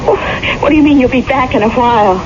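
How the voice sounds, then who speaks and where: A woman's voice: sits high, fast, close to an everyday voice. A woman playing a nagging, suspicious wife who presses with querulous questions, in 1970s radio drama style. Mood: suspicious, accusing. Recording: muffled vintage radio, noisy background.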